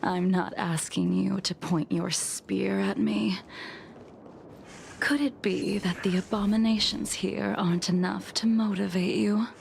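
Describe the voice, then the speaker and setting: Slow, creaky, haughty swordswoman. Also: mid-range voice, steady tone, a little mellow, slight background noise. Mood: calm, composed. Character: calm, haughty, battle-loving swordswoman, taunting and unhurried. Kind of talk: scripted video game dialogue. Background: faint game music.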